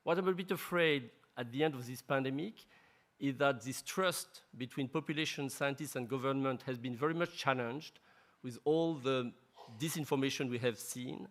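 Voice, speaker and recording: Mid-pitched man, a scientist speaking publicly. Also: normal speed, fairly bright tone. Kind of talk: spoken answer at a science event.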